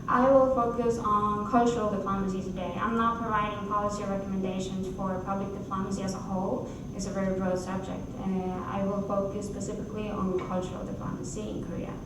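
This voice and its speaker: A young woman delivers a formal conference paper in a measured, hesitant way. Her mid-pitched voice comes from far off the mic in a very reverberant hall, with clear noise around it. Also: little pitch movement. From Norway. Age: 20s. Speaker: academic conference presenter, graduate student. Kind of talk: formal academic presentation.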